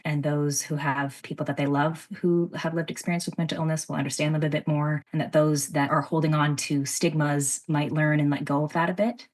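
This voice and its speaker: A woman from Canada giving thoughtful interview answers as a playwright. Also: sits low.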